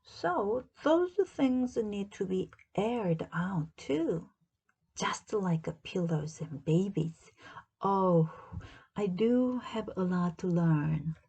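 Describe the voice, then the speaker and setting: A woman's voice: medium pitch, lively intonation, very slow, creaky, warm tone, husky, highs cut off, exaggerated voice. A woman voicing a cheerful, earnest, literal-minded housemaid from a children's storybook, with folksy, puzzled exclamations. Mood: earnest, musing.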